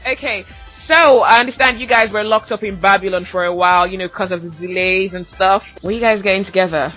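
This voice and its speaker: A man's voice: high-pitched, brisk, pushed and bright. A man hosting a podcast interview, upbeat and chatty, often laughing. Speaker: podcast host. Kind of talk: casual interview. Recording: dull lo-fi audio with faint music.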